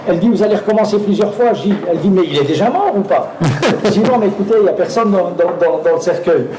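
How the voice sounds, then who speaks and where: A man's voice: high voice, fast talker, roomy sound, noisy background. A man, an actor guest telling anecdotes on stage, hesitant with many fillers and restarts, often laughing. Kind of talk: live talk on stage, storytelling.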